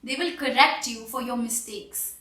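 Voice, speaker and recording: Woman, Indian English accent, English teacher, high voice, echoey room. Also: moderate pace, bright, forceful tone, distant mic. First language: Hindi. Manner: earnest, encouraging, direct advice to viewers. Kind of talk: online English lesson.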